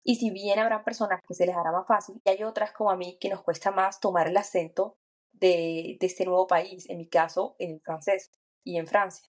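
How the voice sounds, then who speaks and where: A woman's voice: fairly low pitch, fairly even intonation, moderate pace. A woman co-hosting a podcast, speaking in an earnest, encouraging way and thinking aloud with small restarts. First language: Spanish. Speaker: podcast co-host. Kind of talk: reflective podcast chat. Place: lives and works in France.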